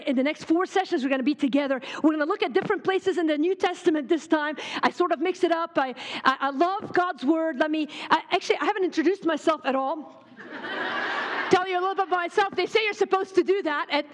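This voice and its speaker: A woman in her forties from Lebanon preaches at a women's retreat in a very high voice. She talks quickly, cracks jokes and then turns fervent. Her voice rings out through a large, echoey hall. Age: 40s. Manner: fast, joking, fervent. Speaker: retreat speaker.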